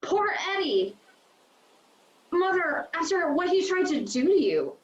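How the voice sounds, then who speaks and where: An actress playing a sullen, reproachful grown daughter in a fan-made drama. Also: female voice, very high voice, big pitch swings, a little slow, exaggerated voice. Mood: sullen, upset. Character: exasperated, indignant adult daughter of retired heroine. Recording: roomy, dull home call audio.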